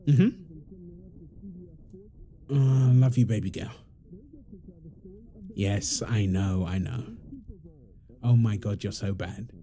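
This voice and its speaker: A man playing a playful, affectionate young father in an intimate roleplay audio, chatting casually and softly as he teases. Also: medium pitch, a little hiss or hum. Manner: teasing, soft-spoken, chuckling, informal.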